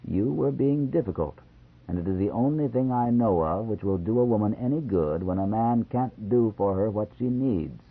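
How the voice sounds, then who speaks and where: A man voicing a calm, firm husband who speaks quietly and measured. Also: on the low side, breathy edge. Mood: firm gentle. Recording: tinny phone-like lo-fi sound.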